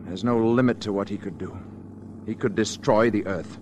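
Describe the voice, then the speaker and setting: An actor in an old radio drama playing a composed alien visitor in human form, solemn and measured. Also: male voice, lowish voice, background noise, close to an everyday voice. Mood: grave, insistent.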